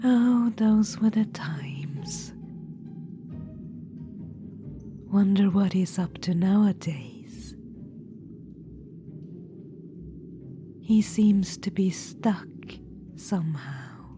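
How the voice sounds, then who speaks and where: A woman's voice: medium pitch, expressive, takes it slowly. A woman voicing a scheming undead witch-queen in a whispered audio roleplay, confiding and fond. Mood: amused. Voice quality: soft whisper. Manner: confiding, fond, slyly amused. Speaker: ASMR roleplay performer. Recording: music underneath. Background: background music.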